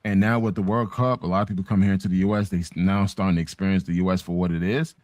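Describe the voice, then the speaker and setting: A man's voice: low voice, steady tone, rapid-fire, darkish tone, no echo. A middle-aged American man of Haitian background in a casual, opinionated discussion, speaking emphatically with repeats and swearing. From United States, Haitian background. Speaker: talk show participant.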